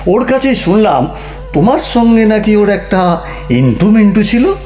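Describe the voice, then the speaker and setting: A man's voice: high voice, animated, moderate pace, mellow, reverberant, soft background music. An actor playing a mocking, argumentative husband who needles his wife in a theatrical comic audio play. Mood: teasing.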